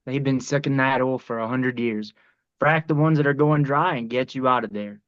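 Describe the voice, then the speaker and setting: Actor as bright, forceful middle-aged oilman. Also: medium pitch, moderate pace, lo-fi sound, close to an everyday voice. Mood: brisk, blunt. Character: brisk, shrewd male oilman in his fifties. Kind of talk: script table read.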